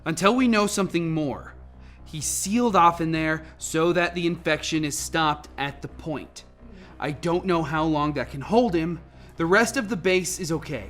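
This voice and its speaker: Woman acting low-voiced, cautious scientist. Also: moderate pace, faint music behind, lightly acted. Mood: measured, explaining. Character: cautious senior scientist trying to keep colleagues calm. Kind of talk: amateur radio play acting.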